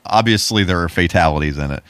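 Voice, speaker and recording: Male podcast co-host, deep-voiced, relaxed and conversational, dry studio-like sound. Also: quick pace, clean tone, dry recording. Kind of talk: casual chat about movies.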